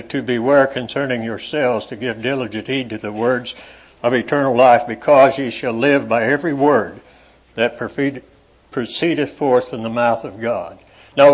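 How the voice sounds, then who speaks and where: A man preaching to a congregation in an evening meeting, reading scripture aloud with rising emphasis and talking with halting fillers in between. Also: mid-range voice, husky. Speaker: lay preacher. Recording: dull lo-fi recording.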